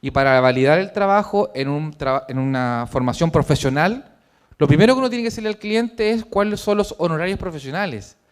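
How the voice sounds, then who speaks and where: A man presenting his own book in a formal, measured lecture to an audience in a hotel conference room. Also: a little high, smooth.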